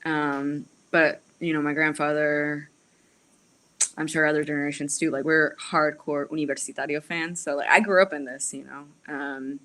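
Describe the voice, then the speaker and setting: A woman from Peru who laughs often and talks in an animated way, a guest in a casual political chat. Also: deep voice, moderate pace.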